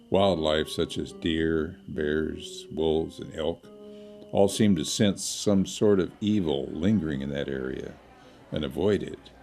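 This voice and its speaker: A man playing a courteous small-town storyteller in an audio drama, with a folksy, measured, old-fashioned politeness. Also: a bass voice, gravelly, dark tone, heavily acted.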